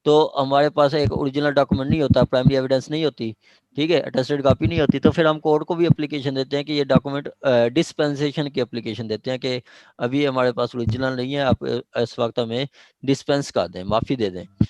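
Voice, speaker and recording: A man's voice: medium pitch, steady tone, a little fast, a little mellow, no echo, poor audio. A man with a Pakistani accent giving an informal online law lecture, explaining by repeating points and checking that listeners follow. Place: online meeting.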